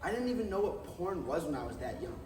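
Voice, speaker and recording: A man's voice: fairly high pitch, sing-song, quick pace, darkish tone, slight background noise. A young man playing an ashamed married addict in readers theatre, earnestly projecting his confession. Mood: regretful confession. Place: large carpeted conference room, far camera. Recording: echoey hall, distant microphone.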